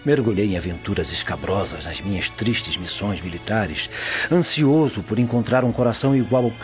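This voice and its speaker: An actor with a Brazilian accent playing a lovesick Roman prefect in a radio soap, declaiming floridly and with passion. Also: male voice, on the low side, expressive, moderate pace, lightly acted. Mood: anguished longing. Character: lovesick, melodramatic Roman prefect pleading his love. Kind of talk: Portuguese-language radio soap acting. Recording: dull lo-fi audio under music.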